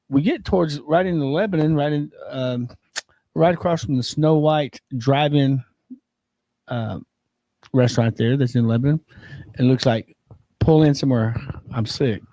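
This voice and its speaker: Male co-host with a cold, mellow tone and dry sound, rambling with lots of fillers. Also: on the high side, no room sound. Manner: rambling, many fillers, chuckles at his own story. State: has a lingering cold, keeps losing his voice. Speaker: co-host of a local wrestling and sports show. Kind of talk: casual chat, storytelling.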